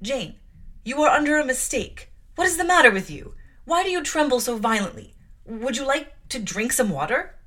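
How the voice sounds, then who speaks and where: A woman's voice: a little high, wildly swooping pitch, moderate pace, pushed and bright, voice pushed up, exaggerated voice. Female reader voicing a stern, condescending aunt, reproving. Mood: anxious placating. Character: stern cold aunt, middle-aged woman, patronizing.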